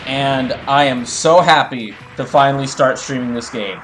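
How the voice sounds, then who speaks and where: A man talking casually to his livestream chat as a game streamer, halting and rambling as he corrects himself. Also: medium pitch, a bit bright, soft background music, poor audio.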